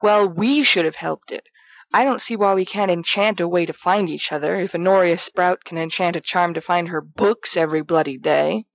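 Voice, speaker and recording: A woman voicing a brash, excitable fourteen-year-old schoolboy in an audio fiction reading, teasing and bossy in manner. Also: fairly low pitch, normal speed, close to an everyday voice, rough recording.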